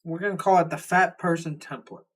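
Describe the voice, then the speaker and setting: A husky, slightly high-pitched young man with a Southern drawl talks casually and bluntly, cursing freely. He rambles and restarts as he rants like a reaction-video host. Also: fairly even intonation, fairly quick. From Southern United States. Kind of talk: casual ranting commentary.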